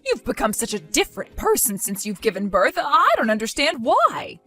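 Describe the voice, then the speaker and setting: A woman voicing an indignant older woman who scolds and accuses in a lively, high voice. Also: moderate pace, soft background music, heavily acted. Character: furious indignant older woman caring for a baby.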